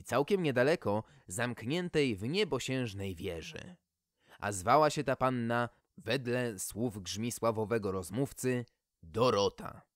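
A man's voice: mid-range voice, no room sound, studio quality. A man reading a scripted fairy tale expressively, as an audiobook storyteller.

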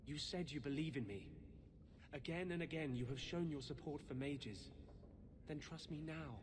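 An actor playing an intense, secretive rebel mage in a video game, speaking in a grave, earnest, insistent way. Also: male voice, a little high, measured pace, background noise, lightly acted. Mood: insistent, fervent. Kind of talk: dramatic video game acting. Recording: game audio with clear background ambience.

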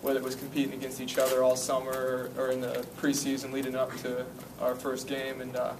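Monotone young man at a press table. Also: mid-range voice. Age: young adult. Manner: steady, measured team talk with "uh" fillers. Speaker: college basketball player, senior. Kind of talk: postgame press conference answers. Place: press conference room. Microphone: table mic, picked up from a distance. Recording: distant mic, noisy room.

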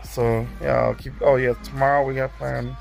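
A young man with a hoarse, raspy voice, recording a casual video diary close to his phone camera in a bedroom. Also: mid-range voice, little pitch movement, darkish tone, soft background music. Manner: slow, subdued, many fillers. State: hoarse from illness. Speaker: vlogger. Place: bedroom indoors. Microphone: phone camera close to face.

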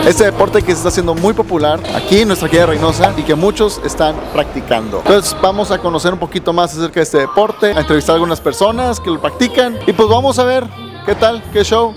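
A man in his thirties with a northern Mexican accent, doing casual on-location interviews as host. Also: high voice. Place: busy padel club. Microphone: handheld reporter microphone. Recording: handheld mic, music behind.

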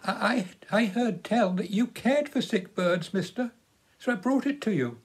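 A man's voice: high voice, animated, moderate pace, husky, voice pushed up. An older English man voicing a shy, earnest young village girl in a storybook reading. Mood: shy, pleading.

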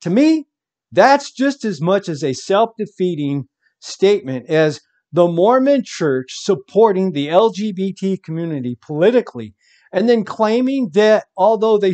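A man's voice: high-pitched, mellow. A man preaching from home, reading scripture aloud and commenting on it with emphasis.

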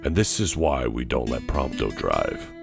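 A man playing a gruff, teasing young bodyguard in a fan audio drama, with a gravelly voice and dry manner. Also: very deep voice, sing-song, measured pace, over music, heavily acted.

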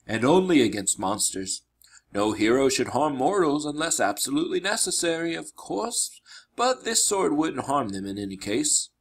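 Guy doing a wise old teacher from a storybook, kinda husky, solemn and measured, kindly. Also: mid-range voice, big pitch swings. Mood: grave, instructive. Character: Chiron, wise older male mentor, grave and kindly.

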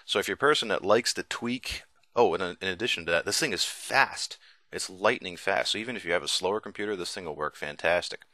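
Very deep-voiced man over music. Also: fairly quick, bright, forceful tone, no echo. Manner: enthusiastic, informal. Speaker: software reviewer. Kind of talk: casual screencast demo narration. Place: indoors, voice-over on a screen recording. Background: music playing behind the voice.

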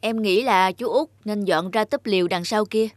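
A woman's voice: medium pitch, normal speed, close to an everyday voice. A woman voicing a bossy, self-serving young man, the eldest brother in a cartoon fairy tale, firm and authoritative. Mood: calculating. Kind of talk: animated fairy-tale dubbing.

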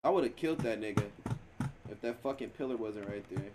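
Slangy, animated man on a gaming stream. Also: on the low side, fairly quick, very noisy. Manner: profane, slangy. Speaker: streamer playing a role-play game. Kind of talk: casual gaming livestream talk with heavy slang and swearing.

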